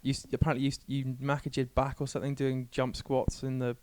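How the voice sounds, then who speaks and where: Young guy on a podcast, talks quick, mellow, kinda rambly and jokey. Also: mid-range voice, completely flat delivery. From Scotland. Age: 20s. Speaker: cyclist, podcast guest. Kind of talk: casual chat. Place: small cluttered office, indoors. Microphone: handheld microphone.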